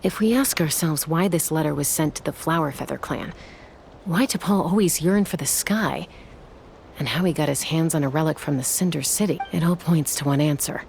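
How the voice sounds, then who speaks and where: Low-voiced, cool, tough investigator. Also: female voice, creaky, a little mellow, a little hiss or hum. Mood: analytical, serious. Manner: measured, analytical. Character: cool, tough, perceptive young woman investigator.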